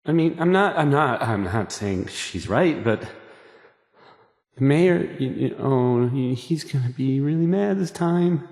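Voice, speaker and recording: A man's voice: medium pitch, expressive, measured pace, vocal fry, darkish tone, no echo, studio quality. An actor playing a nervous, awkward young male radio DJ in a video game, stammering and hesitant as he talks. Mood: anxious, stammering. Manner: stammering, hesitant, unsure of himself. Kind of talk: video game character dialogue.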